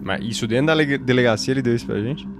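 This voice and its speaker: A man's voice: medium pitch, racing. A Brazilian man playing a tabletop game in a casual, conversational role-play style.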